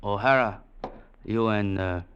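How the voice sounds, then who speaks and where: An actor playing a proud, ruthless Chinese warlord general in an old radio drama, speaking in terse, formal, commanding phrases. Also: male voice, fairly low pitch, unhurried, fairly bright tone, highs cut off, a little hiss or hum. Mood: curt, commanding. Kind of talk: 1940s-50s radio drama acting.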